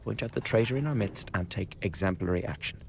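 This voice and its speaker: Glib, low-voiced scheming conspirator. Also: male voice, fast, gravelly. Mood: conspiratorial, coaxing. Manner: chatty, insinuating, blackly flippant. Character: glib, scheming young male revolutionary conspirator. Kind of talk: radio drama acting. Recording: studio radio drama with background ambience.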